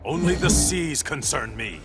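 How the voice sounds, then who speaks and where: A man's voice: fairly high pitch, lively intonation, a little slow. An actor playing a proud, stern warrior king of an undersea realm in a video game, with a regal, imperious manner. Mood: haughty, defiant. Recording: loud music behind the voice.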